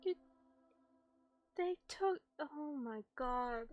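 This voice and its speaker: Woman game streamer, high voice, slow halting pace, emotional casual reactions, faint music behind. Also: animated, no room sound, lo-fi sound. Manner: halting, emotional, swears freely. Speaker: livestreamer.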